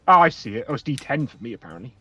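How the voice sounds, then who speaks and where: A man's voice: mid-range voice, very animated, rapid-fire, sharp tone. A man talking casually and a little hesitantly as a player in a tabletop game, on a muffled voice channel. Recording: dull, lo-fi voice channel.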